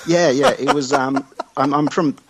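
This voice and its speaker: A man's voice: a little high, steady tone, moderate pace. Australian man, hesitant guest, lots of ums, Skype call. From Sydney, Australia. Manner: hesitant, filler-heavy replies. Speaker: podcast guest. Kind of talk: casual podcast interview.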